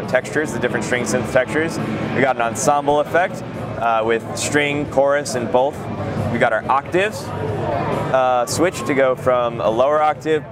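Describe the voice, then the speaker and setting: A man gives an informal product demo at a trade show booth, with loud music around him. His pitch is average for a man, and he talks in a hesitant, self-correcting way as he walks through features. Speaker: product demonstrator.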